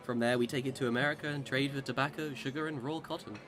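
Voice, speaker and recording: A man's voice: medium pitch, steady tone, fast, over music, lightly acted. A man acting in a radio drama as a boastful immortal Englishman who swings between cheer and despair, with theatrical old-time speech. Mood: wry, self-assured.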